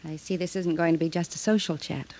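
A woman's voice: on the low side, steady tone, brisk, a little mellow. An actress playing a lonely, world-weary, guarded young woman in a 1950s radio drama, on a noisy old recording. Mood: guarded, wistful. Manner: wistful, evasive.

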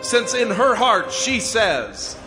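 A man's voice: sits high, sing-song, takes it slowly, music in the background, heavily acted, poor audio. A man playing a stern voice from heaven in a dramatized audio Bible, solemnly commanding and pronouncing judgment.

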